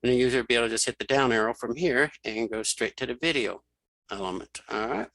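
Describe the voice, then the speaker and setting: A man giving a casual, step-by-step software tutorial, thinking aloud and talking through each keypress informally. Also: mid-range voice, quick pace, gravelly, dry recording, low-quality recording. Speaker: screen reader tutorial instructor.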